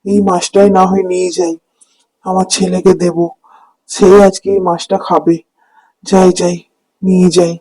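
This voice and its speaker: A man's voice: sits high, level tone, moderate pace, long pauses, dark tone. A man voicing a poor, kind-hearted village fisherman and father in a cartoon, with a plaintive manner. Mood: amazed. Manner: plaintive, lamenting. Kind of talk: cartoon dubbing. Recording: music under the voice.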